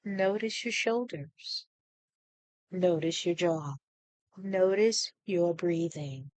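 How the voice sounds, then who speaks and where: Female meditation guide, unhurried and soothing, short phrases with pauses, close dry recording. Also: on the low side, a little mellow, no room sound.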